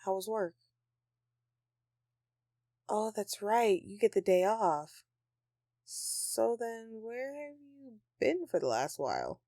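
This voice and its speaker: A woman's voice: medium pitch, sing-song, slow, pauses often, warm tone, no echo, clean studio sound. A woman playing a grumpy but affectionate Christmas elf in an intimate audio roleplay, with a sarcastic, dramatic, sighing delivery. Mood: sheepish, tender.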